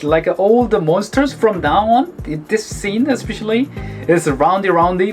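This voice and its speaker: A man from South Korea with a Korean accent, a native Korean speaker, gives casual explanatory commentary as a video host, restarting his sentences often. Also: fairly high pitch. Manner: explanatory, with frequent restarts and filler words. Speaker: language teacher and video host. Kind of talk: casual commentary over film clips. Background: background music.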